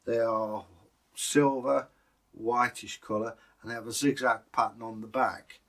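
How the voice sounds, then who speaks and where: A man's voice: medium pitch, fairly even intonation, unhurried, fairly bright tone. A British man co-hosting as a storyteller, telling folktales and chatting in a casual, musing way. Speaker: co-host and storyteller.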